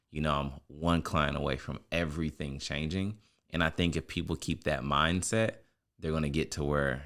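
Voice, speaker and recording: A man's voice: a bass voice, fairly bright tone. A man hosting a podcast interview in a conversational way, often checking in with his guest. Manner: conversational, frequent "you know what I'm saying" check-ins. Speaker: podcast host.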